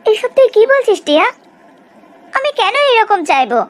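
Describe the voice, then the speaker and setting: Woman acting an earnest young cartoon heroine, very high-pitched. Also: sing-song, moderate pace. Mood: bewildered. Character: earnest, self-sacrificing rich young woman. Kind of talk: cartoon melodrama dialogue.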